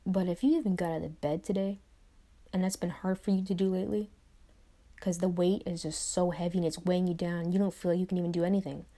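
Woman, young adult, mellow warm tone, reflective, repetitive, chatty motivational vlog. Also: fairly low pitch, fairly even intonation, quick pace. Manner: reflective, repeats phrases, frequent "right?" asides. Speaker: vlogger. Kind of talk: motivational monologue to camera.

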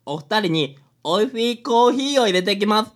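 A woman's voice: medium pitch, expressive, normal speed, natural voice. A woman playing a hapless, eager young man who wants to be a detective, polite and easily flustered, in a radio drama.

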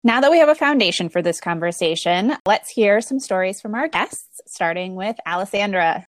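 A woman co-hosting a storytelling podcast, reading the introduction in an even, clear way. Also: mid-range voice, normal speed, no room sound. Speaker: podcast co-host.

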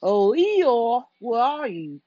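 A storyteller voicing a gentle, simple-minded male storybook bear, musing and earnest with a slight fret. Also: mid-range voice, big pitch swings, takes it slowly, no echo, muffled recording. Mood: earnest, fretful.

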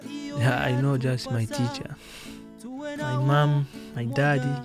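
A man with a Congolese accent answering interview questions in halting, non-native English, often repeating words and laughing. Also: mid-range voice, very animated, music in the background. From Democratic Republic of Congo. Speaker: guest musician.

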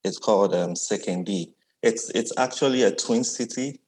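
A man's voice: fairly low pitch, moderate pace, a little mellow, husky. A man, an artist chatting easily as a guest and often adding "yeah". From Ghana. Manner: easygoing, reflective, often adds "yeah". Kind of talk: casual conversation.

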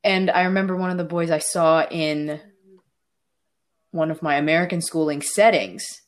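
A young woman with a notably low voice talks through her own memories in an easy, unhurried way. She pauses often and fills gaps with small hesitations as she thinks aloud. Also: steady tone. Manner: reflective, personal storytelling with fillers. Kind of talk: casual discussion.

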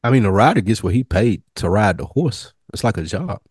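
Very deep-voiced man, dry close sound. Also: sing-song, a little fast, a little mellow, husky, no echo. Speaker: podcast co-host. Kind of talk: casual TV recap chat.

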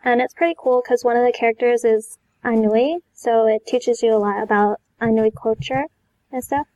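A woman's voice: fairly high pitch, steady tone, moderate pace, warm tone, rough recording. A woman in a casual chat as a podcast guest, speaking in a hesitant, tentative way. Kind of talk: casual chat about anime and manga.

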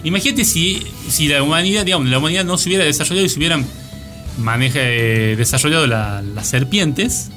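Higher-voiced Argentine man chatting on radio. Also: quick pace, bright, forceful tone. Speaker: radio co-host. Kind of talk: casual, joking panel chat. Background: background music.

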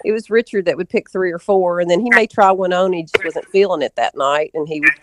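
A woman's voice: lowish voice, brisk, a bit bright, slight background noise. A woman telling stories as an interview guest in a casual, rambling way, often doubling back mid-sentence. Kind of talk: casual interview storytelling, reminiscing.

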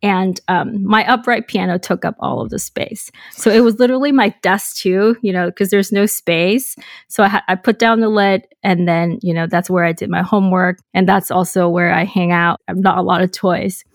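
A woman's voice: fairly low pitch, steady tone, moderate pace, dry recording, studio quality. A young adult woman from Hong Kong telling her story as a podcast guest, reflective and chatty, with many ums and you-knows. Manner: reflective, frequent "um" and "you know", light laughs. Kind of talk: casual interview storytelling.

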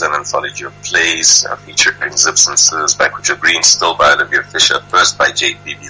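Very deep, bright South African man. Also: low-quality recording. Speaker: guest, writers' group founder. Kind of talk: informative interview talk.

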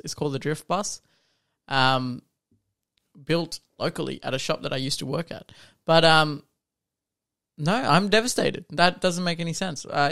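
A man with a somewhat high, gravelly voice co-hosts a casual car podcast. He talks with enthusiasm and often cuts in on his partner. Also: fairly bright tone. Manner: enthusiastic, interrupts, laughs often. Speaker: podcast co-host. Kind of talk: casual chat.

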